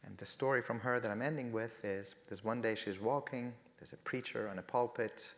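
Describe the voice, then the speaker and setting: A man's voice: mid-range voice, normal speed, fairly bright tone, big-room sound. A middle-aged man whose first language is Persian, speaking earnestly at a faith festival and telling stories with rising emphasis. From Iran. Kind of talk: reflective spoken talk.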